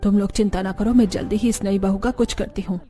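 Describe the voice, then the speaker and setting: A woman's voice: mid-range voice, steady tone, fairly quick, mellow, close to an everyday voice. A woman voicing a haughty, disapproving city in-law in a cartoon. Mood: irritated. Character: haughty, disapproving city women of the in-laws' household.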